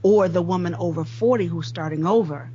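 A woman's voice: on the low side, a little fast, dark tone, lo-fi sound, slight background noise. A woman co-hosting a podcast, earnestly building a long question for the guest. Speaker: podcast co-host. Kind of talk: interview question.

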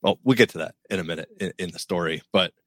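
Middle-aged male co-host, deep voice, rambling and self-deprecating. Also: fast talker, a bit bright, no echo, studio quality. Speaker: podcast co-host. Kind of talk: casual podcast chat.

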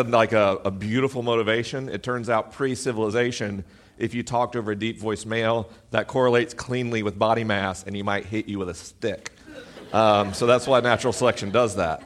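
A man with a deep, booming voice, hosting a live podcast event and talking to his audience from the stage in an animated, self-deprecating way through an amplified mic. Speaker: podcast host.

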